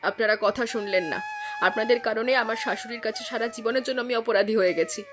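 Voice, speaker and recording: A woman's voice: on the high side, fast talker, close to an everyday voice. A woman playing a kind, long-suffering village aunt in a moral-tale cartoon, with a plaintive, dignified delivery. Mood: hurt, reproachful. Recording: prominent music behind the voice.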